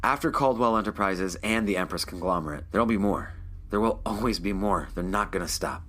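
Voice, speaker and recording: A man playing a stern, weary, protective ship's captain in an audio drama, speaking in a firm, measured way. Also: lowish voice, a bit bright. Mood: grave. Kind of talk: scripted audio drama acting.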